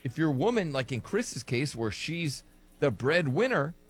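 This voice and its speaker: A man's voice: on the high side, very animated, unhurried, slightly rough, dry recording. A man co-hosting a casual podcast, teasing his friends and playfully indignant when they derail his point. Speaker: podcast co-host. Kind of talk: casual banter.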